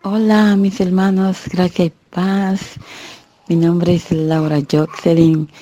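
A woman with a Dominican accent speaks in a very low, gravelly voice. She talks slowly and rambles through a devout greeting. Her tone is dark and mellow. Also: flat delivery. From Dominican Republic. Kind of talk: voice message to a church group.

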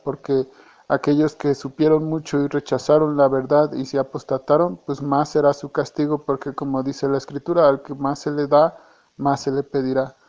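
Man, Mexican accent, hesitant, self-correcting, flat delivery, dull lo-fi voice message. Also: medium pitch. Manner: hesitant, repeats and corrects himself. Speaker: church member. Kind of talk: casual voice message.

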